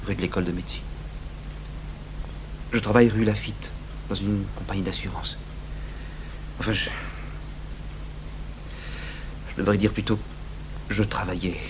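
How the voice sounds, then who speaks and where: A low-voiced young man played by an actor, troubled and bitter, confesses in halting, stammered phrases. It is radio drama from an old, humming tape with heavy noise. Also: fairly even intonation, natural voice. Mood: bitter, weary. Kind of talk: 1980s French-language radio drama acting.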